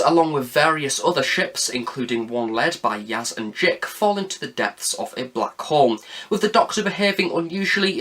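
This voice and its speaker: A man's voice: on the high side, pushed and bright. A man hosting a review channel, delivering an enthusiastic scripted review with chatty, emphatic, self-deprecating asides.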